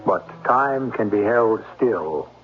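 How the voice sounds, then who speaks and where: A man hosting and narrating a radio mystery, with a deliberate, theatrical, portentous delivery. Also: on the low side, animated, muffled recording. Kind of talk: theatrical scripted suspense narration. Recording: thin vintage radio broadcast.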